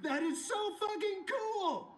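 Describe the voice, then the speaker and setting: An actor voicing a cocky, excitable male soldier in video game combat barks, foul-mouthed and gleeful. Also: extremely high pitch, takes it slowly, exaggerated voice. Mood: excited glee. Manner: whooping, foul-mouthed, gung-ho.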